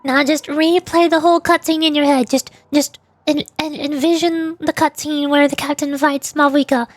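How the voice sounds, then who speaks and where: A woman's voice: sits high, fairly even intonation, normal speed, no room sound, faint music behind. A woman livestreaming a game, chatting casually in an informal, rambling way. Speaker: VTuber game streamer.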